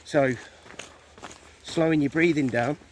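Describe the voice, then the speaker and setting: A man's voice: on the high side, takes it slowly. A man with an English accent co-hosting a walking vlog, talking casually outdoors. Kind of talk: casual chat. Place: outdoors on a country lane. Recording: outdoor phone recording with clear background noise.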